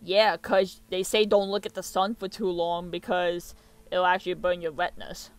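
A man's voice: high-pitched, flat delivery, moderate pace, smooth, dry recording. A young man giving casual reaction commentary and reading captions aloud, with muttered asides, on a close mic in a small room. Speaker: reaction video host.

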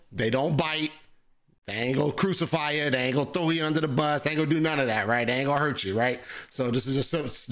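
Hoarse, chatty male show host. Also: mid-range voice. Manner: upbeat, chatty, uses colloquial speech. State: hoarse, slightly raspy voice. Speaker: host of a live debate show. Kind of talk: casual livestream introduction.

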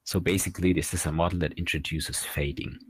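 A man in his thirties presenting a technical slide lecture as a researcher, explaining in a measured, halting way from a small room. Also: deep voice, fairly even intonation, slight background noise. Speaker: researcher presenting at an online academy.

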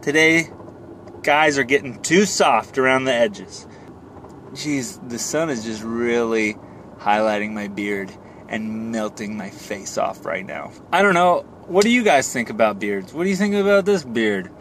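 Joking man vlogging over clear car noise. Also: medium pitch, lively intonation, measured pace, fairly bright tone. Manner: joking, self-deprecating. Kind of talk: casual rambling vlog to camera. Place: inside a moving car. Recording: car cabin with road and fan noise. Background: car and air noise.

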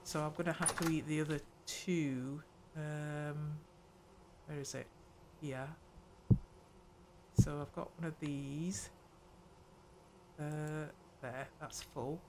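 An older woman talks her way through a video game, thinking aloud as she goes. She speaks slowly and unhurriedly, pausing to muse over each small task. A steady hiss of background noise sits under her home recording. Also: low-pitched. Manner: unhurried, muttering thoughts aloud while playing. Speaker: gaming channel host. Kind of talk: let's play commentary.

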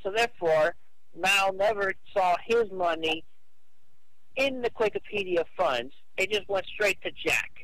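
High-pitched man arguing on the phone. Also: animated, fairly bright tone, no room sound. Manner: halting, repetitive, insistent. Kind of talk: phone conversation, arguing his case. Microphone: telephone call. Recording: phone call recording.

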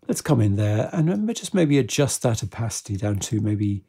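A man giving a casual step-by-step software tutorial in a measured, explanatory way. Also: on the low side, big pitch swings, vocal fry, breathy edge.

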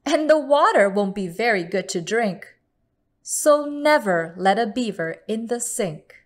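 A woman storyteller reading a picture book aloud to children in a deliberate, expressive manner. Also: mid-range voice.

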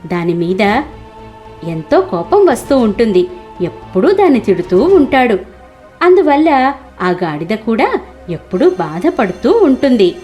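A woman's voice: a little high, sing-song, a little slow, long pauses, warm tone, dull audio, faint music behind. A woman storyteller reading scripted narration for a cartoon moral tale.

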